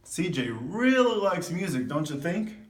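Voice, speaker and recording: A man's voice: on the high side, very animated, unhurried. A man reading a children's picture book aloud in an instructive way, stopping for asides and questions to his listeners. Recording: echoey room, distant mic, background noise.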